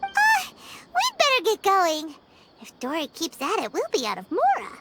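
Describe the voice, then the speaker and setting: A woman voicing a tiny, excitable female companion with a very high, squeaky voice in a video game. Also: very noisy, exaggerated voice. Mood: eager. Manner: excitable, quick exclamations. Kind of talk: game voice acting. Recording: game audio with loud music.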